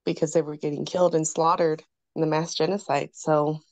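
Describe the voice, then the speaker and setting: A woman's voice: low voice, level tone, fairly quick, no echo. A woman in a reading group discussion, speaking reflectively and thoughtfully over a video call. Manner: reflective, thoughtful, with frequent fillers. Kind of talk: casual discussion.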